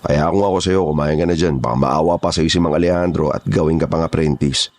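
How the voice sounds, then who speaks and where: An actor playing a rough kidnapper's henchman in a horror audio drama, colloquial and easily rattled. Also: rumbling low, fairly even intonation, quick pace, a little mellow. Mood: taunting. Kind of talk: dramatised horror story acting.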